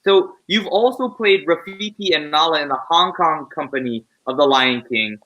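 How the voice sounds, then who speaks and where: A woman hosting a casual interview, asking questions in a halting way as she searches for her words. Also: low-pitched, a bit bright, dull audio. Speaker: interviewer host.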